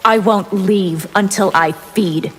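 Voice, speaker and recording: A woman's voice: medium pitch, slow, close to an everyday voice. Woman playing an imperious vampire warrior in cavernous reverb. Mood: haughty, hungry. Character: haughty female vampire warrior, predatory and defiant. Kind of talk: fighting game dialogue. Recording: very reverberant, loud game noise behind.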